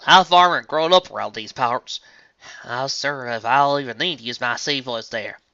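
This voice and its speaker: Someone doing a folksy, proud farm mare, bright-voiced. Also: medium pitch, moderate pace, no room sound, dull audio. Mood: indignant. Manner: folksy, rustic country talk. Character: plain-spoken, proud, stubborn young female farm pony.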